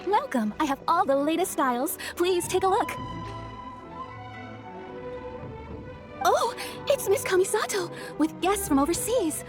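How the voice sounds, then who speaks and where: A high-voiced, courteous shopkeeper. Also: female voice, lively intonation, normal speed. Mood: welcoming. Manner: deferential, customer-service politeness. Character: courteous, businesslike female kimono shopkeeper, eager to please. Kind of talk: anime game voice acting. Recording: faint background music.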